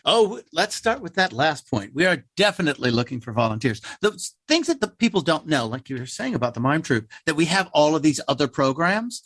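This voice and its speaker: Man, slightly high voice, dry close sound, casual explainer, interview guest, theater playwright. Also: very animated, a little fast, few pauses, fairly bright tone, no echo. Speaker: theater troupe member and playwright.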